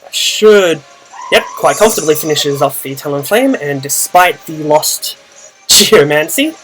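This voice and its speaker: A young Australian man with a naturally loud voice, casually narrating his own Pokemon battle, thinking aloud with fillers. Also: fairly high pitch, animated, unhurried, faint music behind, low-quality recording. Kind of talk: casual play-by-play gaming commentary.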